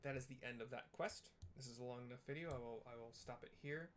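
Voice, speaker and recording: A man's voice: mid-range voice, quick pace, soft background music. A man giving casual, hesitant and self-deprecating game commentary, rambling as he describes what is on screen. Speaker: video game streamer and narrator. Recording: clearly audible background noise.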